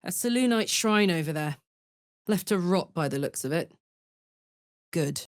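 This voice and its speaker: An actress playing a brash, warm-hearted warrior woman in a video game, with an earnest, salty, blunt manner. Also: on the low side, expressive, unhurried, no room sound, clean studio sound. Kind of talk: video game character voice lines.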